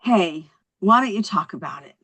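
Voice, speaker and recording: A woman at a script table read playing a quick-witted young housewife, chatty, coaxing and wry. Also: medium pitch, lively intonation, a bit bright, husky.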